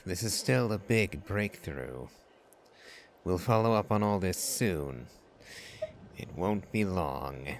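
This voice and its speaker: An actor playing a calm, shrewd, skeptical man in a video game, with a dry, measured delivery. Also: low-pitched, a little hiss or hum, full character voice. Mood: calm assurance.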